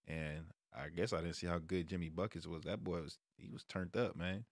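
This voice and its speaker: Deep-voiced American man, casual sports talk. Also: normal speed. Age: 30s. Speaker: streamer and sports vlog host. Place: small room at home, indoors. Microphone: close microphone on a stand, headset on.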